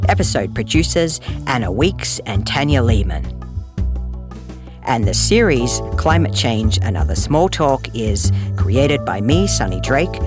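A podcast host reading scripted credits and announcements in a friendly manner, addressing listeners directly. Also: medium pitch. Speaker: podcast host and series creator. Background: music.

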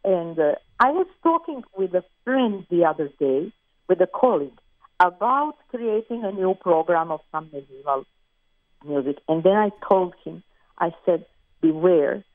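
A woman from Croatia with a Croatian accent, a musician talking thoughtfully and with pauses as an interview guest. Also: fairly low pitch, lively intonation, dry recording. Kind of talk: interview over the phone. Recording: tinny phone line, dry.

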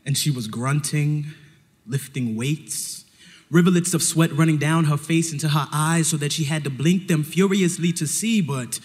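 A man performing a personal story live on stage, theatrically, with dramatic pauses and poetic phrasing mixed with slang. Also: on the high side. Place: live stage show in a hall. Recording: reverberant hall, live on stage.

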